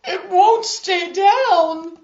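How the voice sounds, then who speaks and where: A woman voicing storybook dinosaur sailors with loud, drawn-out theatrical calls. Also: very high-pitched, pitched above the performer's own voice, exaggerated voice. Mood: queasy. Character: hearty band of seafaring cartoonish dinosaur sailors, later queasy. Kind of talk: storybook character voices, calling and singing. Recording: roomy echo, slightly dull sound.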